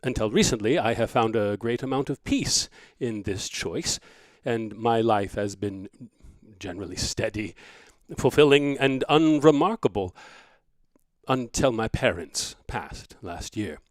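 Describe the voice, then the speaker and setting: An earnest, mild-mannered minister in an audio drama, reading a personal letter. The voice sits fairly low and is recorded dry, with plain, sincere, measured delivery. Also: male voice, lively intonation, no room sound. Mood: earnest, subdued. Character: Mike, mild, earnest minister writing about his life.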